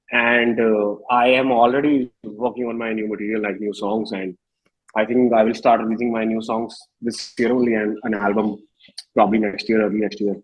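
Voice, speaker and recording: A man's voice: medium pitch, slightly rough, low-quality recording. An Indian-accented man in his thirties speaking informally and reflectively in a casual interview, with plenty of verbal tics like "you know". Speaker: singer-songwriter being interviewed.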